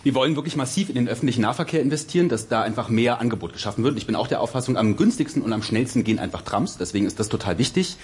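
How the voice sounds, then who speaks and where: A man's voice: mid-range voice, expressive, racing, few pauses, slightly rough. A man making emphatic points in a political debate. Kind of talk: debate statement.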